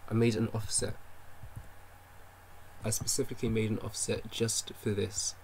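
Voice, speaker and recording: A man's voice: lowish voice, flat delivery, normal speed, vocal fry, a little mellow, slight background noise, low-quality recording. A man developing a game on stream, thinking aloud as he works, muttering to himself and constantly correcting and second-guessing himself. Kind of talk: thinking aloud while working.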